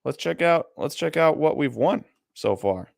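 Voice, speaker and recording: A man's voice: fairly high pitch, lively intonation, normal speed, gravelly, dry recording, clean studio sound. A man with a Midwestern American accent, co-hosting a casual live stream and thinking aloud as he browses listings. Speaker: co-host of a card auction stream.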